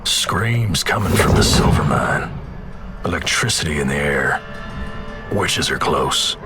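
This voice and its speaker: Loud music plays behind a grim Wild West storyteller narrating a video game. His voice sits very low and moves at a very slow pace. He speaks in a folksy American Western drawl, terse and ominous. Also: expressive, exaggerated voice. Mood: terse, wary.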